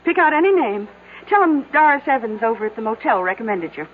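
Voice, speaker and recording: An actress playing a chatty, inquisitive small-town motel keeper in a radio drama, with a folksy manner. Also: female voice, on the high side, sing-song, fast. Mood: chatty, curious. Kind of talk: 1970s radio drama acting. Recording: tinny band-limited old radio, faint hiss.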